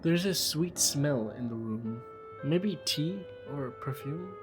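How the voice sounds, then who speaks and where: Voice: medium pitch, lively intonation, slow, soft background music, poor audio. A podcast narrator telling a scripted fiction story in descriptive, short phrases.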